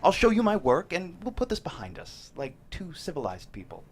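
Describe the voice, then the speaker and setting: Man acting a smoothly menacing killer, swooping pitch. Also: wildly swooping pitch, fairly quick, exaggerated voice. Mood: smooth, condescending. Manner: polite, condescending, insinuating. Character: menacing male killer hiding behind smooth civility. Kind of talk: screenplay table read acting.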